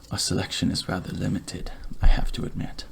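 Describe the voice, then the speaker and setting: A man speaking softly in a breathy half-whisper, gentle and courteous, as a barber in an ASMR roleplay. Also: sits low, completely flat delivery, noisy background. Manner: gentle, courteous, unhurried reassurance. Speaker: ASMR creator playing a night-shift barber.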